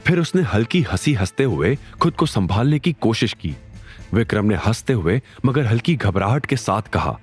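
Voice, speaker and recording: Casting: male audio-serial narrator, slightly deep, highly expressive, dramatic scripted delivery. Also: normal speed, slightly rough, soft background music.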